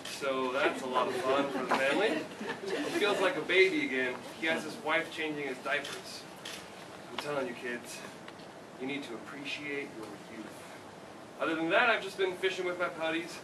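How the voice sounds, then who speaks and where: A man's voice: fairly high pitch, moderate pace, lightly acted. A man playing a playful, sentimental old father with a teasing manner in a staged play reading. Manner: teasing, chuckling. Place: theater stage, recorded from the audience. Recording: distant, echoey, noisy live theater recording.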